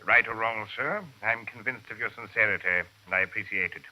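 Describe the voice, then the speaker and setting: An actor playing a courteous, fussy British police superintendent in an old radio drama, politely hesitant and a touch pompous. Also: male voice, fairly low pitch, a little fast, lightly acted. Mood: flustered, firm. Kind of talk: 1940s-50s radio drama acting. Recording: muffled old radio recording.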